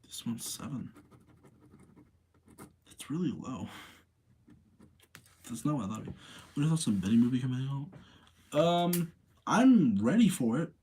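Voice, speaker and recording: A man hosting a livestream, talking casually in an informal, rambling, slangy way with a husky voice. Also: mid-range voice, big pitch swings, measured pace, pauses often, warm tone, slight background noise. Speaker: livestream host. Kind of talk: casual livestream chat and commentary.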